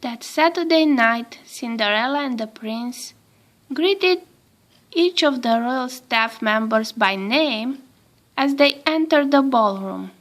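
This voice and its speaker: A woman reads a children's storybook aloud in a high voice. She takes her time, very unhurried, and shapes each phrase expressively for young listeners. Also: animated, fairly bright tone. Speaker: storybook reader, a mother.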